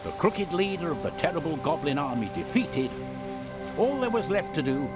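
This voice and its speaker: Hugely expressive storybook narrator. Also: male voice, on the high side, normal speed, loud music behind the voice. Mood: storytelling. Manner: theatrical storyteller. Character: storybook narrator of a fantasy tale.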